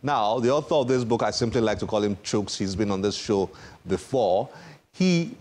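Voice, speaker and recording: A man's voice: lowish voice, expressive, normal speed, darkish tone. A man with a Nigerian accent hosting a TV book segment, enthusiastic and emphatic, repeating words for effect. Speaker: TV book show host. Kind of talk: enthusiastic presenting to camera.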